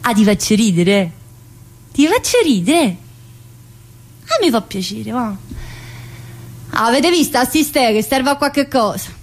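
An actress playing an earthy, wisecracking woman in prison, chatty and crude and swinging from loud outbursts to low muttering. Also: animated, a little slow, long pauses. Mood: gossipy. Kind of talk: stage monologue.

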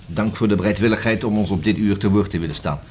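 Male actor as radio-play police inspector: low voice, polite, formal, measured; slightly noisy recording. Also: a little mellow, natural voice. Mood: courteous, businesslike. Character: formal, courteous police inspector questioning people. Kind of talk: radio drama dialogue.

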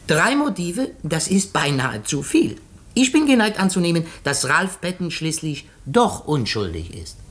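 An actor in a 1950s German radio play playing a shrewd, courteous foreign detective, deliberate and theatrical, dropping in French phrases. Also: male voice, on the high side, sing-song, a bit bright. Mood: thoughtful, contrary.

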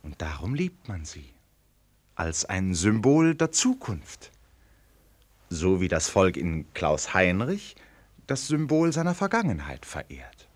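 An actor playing an eloquent, flattering gentleman in a radio drama, earnest and rhetorical, moving between hushed and emphatic phrases. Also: low voice, slow, full character voice. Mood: rousing, flattering. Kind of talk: German radio drama acting.

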